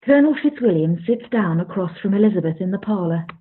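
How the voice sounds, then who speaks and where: A woman's voice: medium pitch, animated, fast talker, husky. A woman narrating a scripted period radio play as a neutral storyteller, on a tinny recording.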